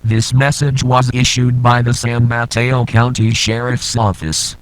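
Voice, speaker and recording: A man voicing a stern, impersonal emergency alert announcer, reading formal scripted instructions in a measured, deadpan way. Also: mid-range voice, no echo, lightly acted, poor audio. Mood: grave, impersonal.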